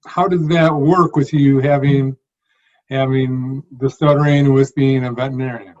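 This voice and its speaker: A man's voice: mid-range voice, steady tone, normal speed, a little mellow. A man hosting an interview, asking engaged, friendly questions. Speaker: host. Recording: dull lo-fi audio.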